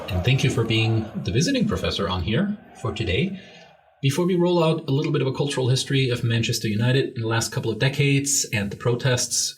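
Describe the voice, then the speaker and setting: A man hosting a football podcast, earnest and slightly formal, reading his intro and asking questions. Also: medium pitch. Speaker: podcast host. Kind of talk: scripted podcast intro and interview.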